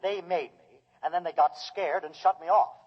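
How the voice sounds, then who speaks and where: An actor playing a devoted, earnest robot servant in a mid-century radio drama, speaking in short, simple declarations. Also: male voice, sits high, steady tone, moderate pace. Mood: earnest, reassuring. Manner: simple short declarative sentences, calls owner boss. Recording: dull old radio recording, long reverb.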